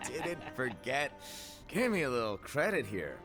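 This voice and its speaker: An eccentric, teasing master in a fantasy game. His mid-range voice leaps about very freely, breezy and joking, with music clearly behind. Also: medium pitch, sing-song, measured pace. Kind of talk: playful video game cutscene dialogue.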